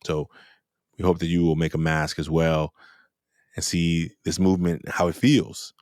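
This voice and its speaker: A man's voice: low-pitched, level tone, a little slow, darkish tone, no echo, clean studio sound. A middle-aged man hosting a podcast interview, talking in a friendly, casual way and circling back over his words. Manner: friendly, repeats himself while practicing a name. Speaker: podcast host.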